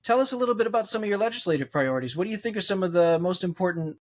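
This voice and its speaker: A man's voice: high voice, animated, racing. A man interviewing a candidate in a casual, upbeat and encouraging way.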